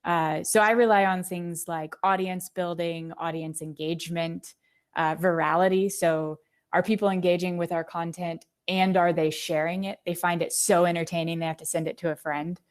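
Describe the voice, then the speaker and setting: Woman, lowish pitch, articulate, conversational, explanatory interview guest. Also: fairly even intonation, moderate pace, smooth. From United States. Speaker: marketing executive, podcast guest.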